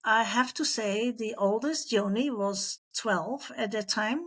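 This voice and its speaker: Middle-aged female interview guest, fairly high voice, recounts personal anecdotes and voices the quoted conversations. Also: slow, fairly bright tone. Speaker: interview guest, former missionary.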